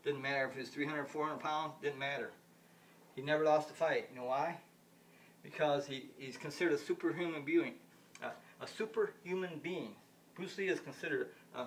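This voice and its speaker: A man's voice: fairly high pitch, fairly quick. Rambling man in his fifties, distant mic. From United States. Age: 50s. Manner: repetitive, restarts and circles back on points. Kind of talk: rambling solo monologue. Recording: distant mic with faint background noise.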